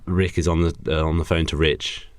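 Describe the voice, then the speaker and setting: Deep-voiced young British man. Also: level tone, fairly quick, no echo. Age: 20s. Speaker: podcast co-host. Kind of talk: casual improvised chat, making up a story.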